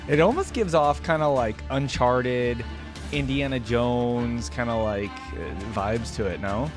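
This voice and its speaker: A man giving casual reaction commentary in an informal way, as if thinking out loud. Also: mid-range voice, animated, measured pace. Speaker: reaction video host. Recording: music playing behind the voice. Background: game music and character voice lines.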